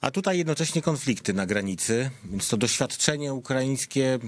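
A man hosting a studio discussion show, speaking in a measured way and putting questions to his guests. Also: medium pitch, expressive. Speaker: host.

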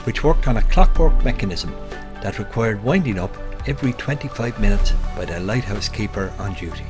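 A man's voice: on the low side, expressive, brisk, music in the background. A man giving an informal, explanatory guide to locations as the host of a photography vlog.

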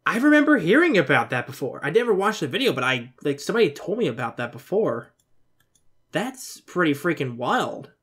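20s dude reacting on webcam, rambly and casual, voice a bit on the high side. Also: male voice, sing-song, a little fast, a bit bright, slightly rough. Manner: rambling, informal, mild swearing. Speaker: reaction video host. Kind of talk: casual off-the-cuff commentary. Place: bedroom, indoors.

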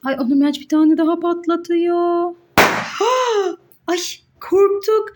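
A woman with a very high voice chats through toy play with her child. She is exclamatory and sing-song, with big exaggerated surprise aimed at young children. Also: fairly even intonation, slow pace, very noisy. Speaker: mother playing with child on camera.

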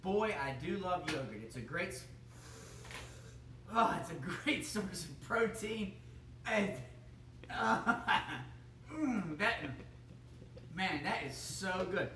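A man's voice: sits high, animated, slow pace, long pauses, a bit bright, a little hiss or hum, full character voice. A young man playing a goofy, bumbling male TV chef who rambles, chuckles and fumbles in a home kitchen. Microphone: camera across the room. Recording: echoey room, mic from far off.

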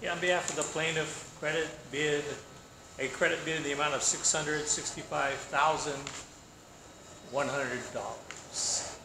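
A man's voice: a little high, steady tone, a little slow, noisy background. A man, an attorney for the plaintiff, stating a bid at an outdoor auction in a brief, businesslike way. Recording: distant echoey camera audio.

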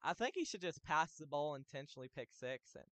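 A young man calling plays in an online football game, shouting touchdowns and then laying down the rules to the players. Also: fairly high pitch, big pitch swings, fairly quick, no room sound. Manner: hype announcer yelling touchdowns, then bossy about rules. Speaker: game commentator and league host. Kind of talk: excited play-by-play commentary.

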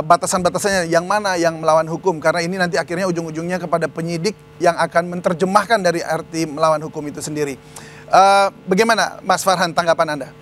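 A male news anchor in a studio, speaking politely with a slightly raised pitch. He fills his pauses with a soft "eh" while steering the discussion. Faint noise sits under his voice. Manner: polite, fluent, with frequent "eh" fillers. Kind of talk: live TV dialogue moderation.

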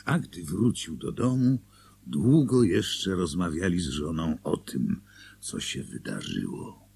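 Very deep, gravelly male narrator. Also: sing-song, slow pace, darkish tone. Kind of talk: scripted radio play narration.

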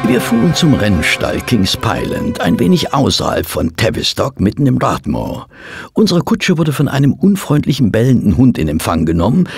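An actor playing a detective's measured gentleman companion, narrating the case in a German radio play with a husky voice. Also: medium pitch, huge pitch swings, soft background music, full character voice. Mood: measured, storytelling.

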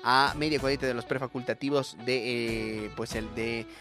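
A man's voice: medium pitch, fairly even intonation, moderate pace, music in the background. A young man with a Bolivian accent hosting a casual, comic radio show.

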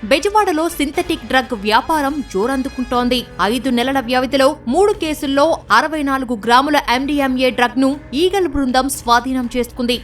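High-pitched woman reading news. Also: fairly quick, hardly any pauses, distant mic, soft background music. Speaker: news reporter narrator. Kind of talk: scripted TV news narration.

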